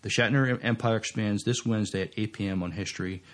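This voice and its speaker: A man co-hosting a podcast, reading out entertainment news and chatting, with a halting, stumbling delivery. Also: fairly low pitch, fairly even intonation. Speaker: podcast co-host.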